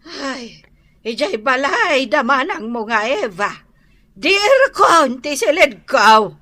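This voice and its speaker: A querulous, confused old mother in an Ilocano radio drama. Her voice is high and bright, and she drags her words out slowly with plaintive sighs. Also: very animated, heavily acted. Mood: confused, complaining. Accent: Ilocano Filipino. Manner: slow, sighing, interjecting.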